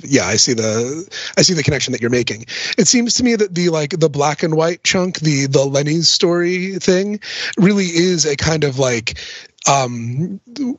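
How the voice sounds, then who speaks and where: Male podcast guest, fairly high voice, thoughtful and hesitant, casual film talk, dull dry audio. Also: no room sound.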